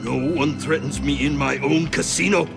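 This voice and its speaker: An actor playing a proud, hot-tempered casino boss and arms dealer, speaking emphatically in a video game. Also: male voice, a little high, fairly even intonation, moderate pace, gravelly. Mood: furious, defiant. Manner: indignant, emphatic. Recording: music behind the voice.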